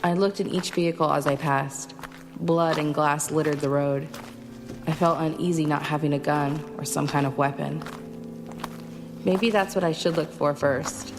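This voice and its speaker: A low-pitched female voice with a long reverberant tail. A woman plays a weary, determined survivor of an invasion, telling her own story as audio drama and slipping into dialogue. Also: fairly even intonation, normal speed, music in the background. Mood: weary, uneasy. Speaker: narrator and lead actor. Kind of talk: first-person audio drama narration with acted dialogue.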